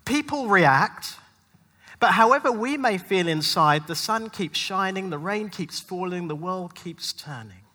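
A man preaching as a pastor in a dramatic spoken-word style, with rhetorical repetition and deliberate pauses, recorded live in a large venue. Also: high-pitched, expressive, bright, forceful tone. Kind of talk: dramatic sermon with rhymed spoken-word passages. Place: large indoor venue, speaking live to a gathering. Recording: live hall with long reverb.

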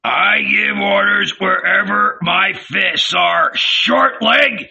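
A man's voice: on the high side, expressive, unhurried, creaky, sharp tone, husky, distant mic, muffled recording, heavily acted. A man voicing a fierce, defiant red-bearded rebel who barks commands in an audiobook. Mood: gruffly commanding. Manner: barking orders, commanding.

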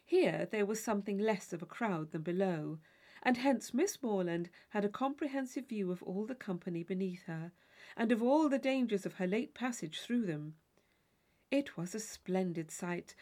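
A woman's voice: mid-range voice, darkish tone. A woman reading a classic novel aloud as scripted literary narration. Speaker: audiobook reader.

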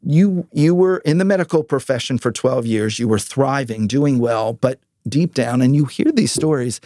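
A man's voice: medium pitch, moderate pace, mellow, dry recording. Man hosting a casual interview, dry close sound. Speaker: podcast host interviewing a guest.

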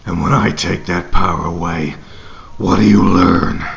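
Very deep, gravelly grim vigilante. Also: male voice, steady tone, measured pace, full character voice. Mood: cold rage. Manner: menacing, terse, brooding. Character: grim, grief-stricken, enraged masked vigilante man. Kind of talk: audio drama acting. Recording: muffled audio under dramatic music.

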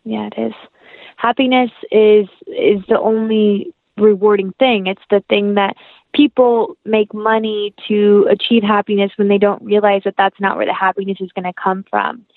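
A woman's voice: mid-range voice, flat delivery, moderate pace. Tinny call audio, young woman, podcast guest, casual, earnest, heartfelt, rambling, no room echo. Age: young adult. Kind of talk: casual interview chat. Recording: tinny phone-like line.

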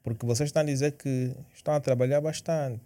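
A man's voice: medium pitch, fairly even intonation, fast, dark tone. A man hosting a casual interview, teasing his guests and pushing with personal questions. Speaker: host.

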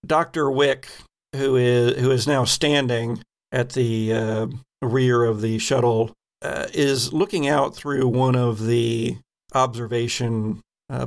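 A man game master narrates a tabletop session in a gravelly, mid-pitched voice. He takes his time, feeling his way through vivid descriptions.

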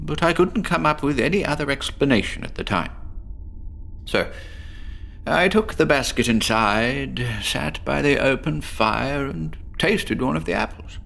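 A man's voice: mid-range voice, vocal fry, a bit bright, a little hiss or hum, heavily acted. An actor playing a chatty, nervously jovial male patient with an apple obsession, telling his story theatrically in a horror audio drama. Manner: theatrical, rambling, with nervous chuckles.

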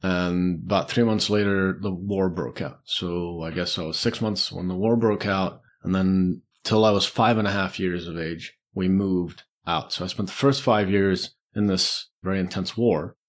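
A man's voice: low-pitched, fairly even intonation, normal speed, few pauses. A man in his forties, a podcast guest casually telling personal stories in an informal way, acting out remembered thoughts as he goes. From Lebanon, Czech Republic and the UAE. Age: 40s.

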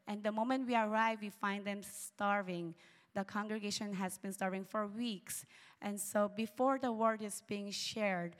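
A woman whose first language is Tagalog speaks in earnest, emphatic phrases as a missionary presenting her work. Her pitch sits in the middle range. Her voice carries a long reverb from a large hall.

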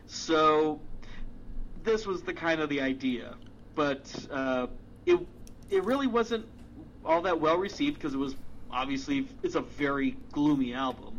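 A man chats loosely about records, rambling and restarting his sentences, with casual swearing. His voice sits a little high. The recording is dull and lo-fi, with a faint hiss behind it. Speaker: music podcast co-host. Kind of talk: casual chat.

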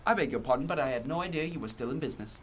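A man's voice: medium pitch, lively intonation, very fast, a bit bright, husky. A man playing a fussy, polite hobbit in an audio drama, flustered and given to exclaiming. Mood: astonished.

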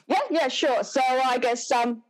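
A middle-aged woman with a Finnish accent, answering as an academic interview guest in halting, thoughtful phrases. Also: high-pitched. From Finland.

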